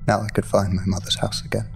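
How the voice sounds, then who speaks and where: An actor playing a haunted outcast man narrating a grim audio drama monologue in a grave, measured way. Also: on the low side, level tone, creaky, a little hiss or hum. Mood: somber.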